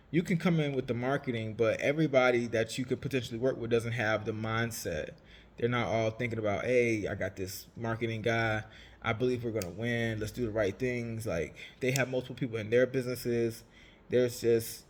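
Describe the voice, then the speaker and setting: A man in his late twenties, raised in Savannah, Georgia, talking casually and rambling, often correcting himself. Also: medium pitch. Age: 20s. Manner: rambling, self-correcting, chatty. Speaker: vlogger, entrepreneur. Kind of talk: casual storytelling monologue.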